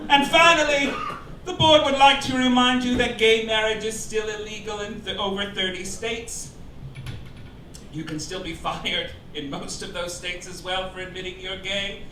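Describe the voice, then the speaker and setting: A man's voice: high voice, fairly even intonation, moderate pace. A man performing a scripted satirical monologue on a cabaret stage, delivering mock-formal announcements in an arch, campy manner with emphatic punchlines. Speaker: comedian presiding as chairman of a mock club. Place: live cabaret club stage before an audience. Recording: roomy live club recording, distant, loud noise. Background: audience laughter, loud room noise.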